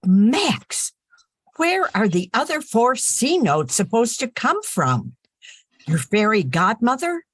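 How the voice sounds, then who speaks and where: An older woman playing a sensible, sharp-tongued farm girl in a 1940s radio play, folksy and firm. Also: fairly high pitch, wildly swooping pitch, slow, no echo, full character voice. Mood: exasperated, firm.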